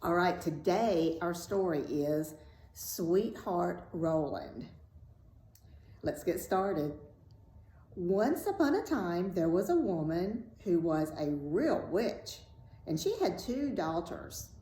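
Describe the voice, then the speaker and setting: A woman storyteller reads a fairy tale aloud with dramatic, widely swinging intonation. She takes her time in a low-leaning, mellow voice, recorded in an echoey room. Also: big pitch swings. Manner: deliberate, dramatic. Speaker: storyteller, host. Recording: roomy echo, faint background noise.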